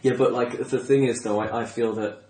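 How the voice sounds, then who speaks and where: A man's voice: mid-range voice, fairly even intonation, fairly quick, warm tone. A man hosting a casual, bantering podcast chat, rambling and often restarting his sentences. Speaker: podcast host; stand-up comedian. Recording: roomy, echoey sound.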